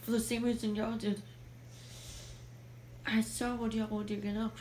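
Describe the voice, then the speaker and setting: A woman's voice: fairly high pitch, level tone, moderate pace, darkish tone, close to an everyday voice. A woman in an amateur fandub playing a frightened, sorrowful young princess trapped in a tower, plaintive and dramatic, on a noisy home recording. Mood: wistful.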